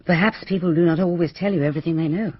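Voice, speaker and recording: A woman's voice: lowish voice, rapid-fire, breathy edge, no room sound. An actress playing a respectable, opinionated and guarded village lady in a radio mystery, with a genteel, pointed manner. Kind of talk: radio mystery drama acting. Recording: dull, lo-fi radio drama recording.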